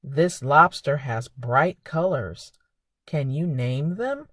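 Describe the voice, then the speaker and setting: Expressive woman reading for preschoolers, unhurried. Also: low-pitched, dry recording, clean studio sound. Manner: simple, expressive phrasing aimed at young children, with questions. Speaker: children's librarian and storytime host. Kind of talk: preschool storytime reading and narration.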